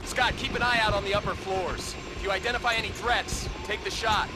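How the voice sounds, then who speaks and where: Fast, high-voiced male military commander played by an actor. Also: steady tone, lightly acted. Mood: brisk, focused. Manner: brisk, authoritative military briefing. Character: male military commander briefing a special forces captain over radio. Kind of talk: video game mission dialogue. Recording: very noisy, vehicle and battle noise.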